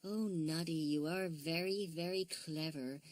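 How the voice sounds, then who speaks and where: A small, sweet girl teddy bear speaks in a soft, fretful storybook voice, as a woman acts her out. Her tone is pitched well above a low natural register. The recording is close and dry. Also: normal speed, dry recording, lightly acted. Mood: earnest.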